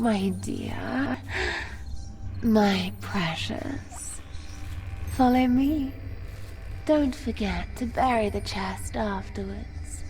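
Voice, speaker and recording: A woman's voice: medium pitch, dark tone. A woman playing an enigmatic, seductive female spirit in a fantasy game, sly, condescending and teasing. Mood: sly, commanding. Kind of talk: dramatic fantasy game acting. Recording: music behind the voice.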